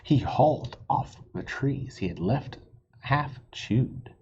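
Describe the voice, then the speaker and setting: A man reads a children's storybook aloud expressively, pausing for simple asides and questions to young listeners. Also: mid-range voice, animated, a little mellow, husky, lo-fi sound. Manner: asks the listeners questions, explains words simply.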